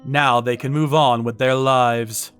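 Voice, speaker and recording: A man narrating a manga dub as a first-person story, with a measured, menacing, theatrical delivery. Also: mid-range voice, steady tone, fairly bright tone, faint music behind.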